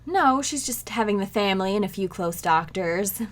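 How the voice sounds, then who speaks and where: A woman's voice: medium pitch, lively intonation, moderate pace, close to an everyday voice. A woman playing a cheerful, scatterbrained young housewife in 1940s-style radio comedy, with a breezy, innocently matter-of-fact manner.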